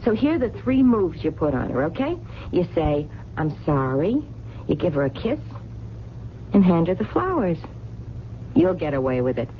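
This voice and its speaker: A working-class woman of the late-night diner, quick with blunt, ungrammatical advice and kind underneath. She is heard in an old radio drama with a muffled band and faint noise. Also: on the low side, sing-song. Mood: breezy, advising. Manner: streetwise, colloquial, ungrammatical. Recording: dull, noisy vintage radio broadcast.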